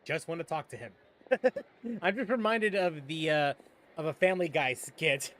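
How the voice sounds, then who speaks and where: A man's voice: a little high, a little slow. A man hosting a let's-play, making jokey, wisecracking asides. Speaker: gaming channel host. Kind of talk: casual let's-play commentary. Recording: voice over loud game music. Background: game music.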